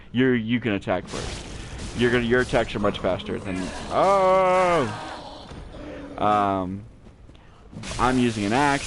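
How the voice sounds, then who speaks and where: A man with a naturally deep voice giving relaxed, rambling gameplay commentary with many fillers, recorded at home on a cheap gaming headset mic. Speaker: gaming channel host. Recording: low-quality headset mic, faint hiss.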